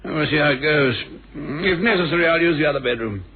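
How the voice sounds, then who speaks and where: A man's voice: fairly high pitch, brisk, close to an everyday voice. An actor playing a brusque, preoccupied businessman in a radio mystery, muttering in clipped phrases. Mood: curt, distracted. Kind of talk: 1970s radio drama acting. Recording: noisy, narrow-band old radio recording.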